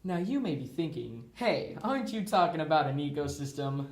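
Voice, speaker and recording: A man's voice: fairly high pitch, very animated, moderate pace, a little hiss or hum. A young man reading scripted educational narration with jokey asides, recorded in a roomy, amateur way.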